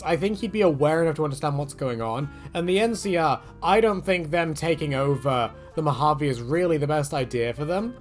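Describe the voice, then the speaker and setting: A man giving a casual, rambling video commentary in a chatty way, often correcting himself and wandering off on tangents. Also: a little high. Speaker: gaming video creator.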